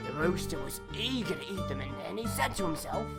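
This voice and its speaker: Animated woman storyteller over music. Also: lowish voice, fairly quick. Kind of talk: narrating a children's folk tale. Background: instrumental music.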